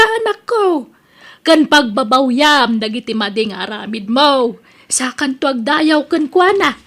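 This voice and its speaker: A woman's voice: sits high, a bit bright. A woman playing an older, pious mother who earnestly scolds and advises her grown son in an Ilocano radio drama, with an Ilocano accent.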